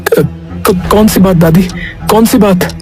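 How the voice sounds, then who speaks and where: A man's voice: high voice, slow pace, over music. Anxious young grandson, played by a man, hesitant. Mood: anxious, puzzled. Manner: hesitant, questioning, stammering. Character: anxious, grieving young grandson, unsure of himself. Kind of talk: dramatic cartoon story voice acting.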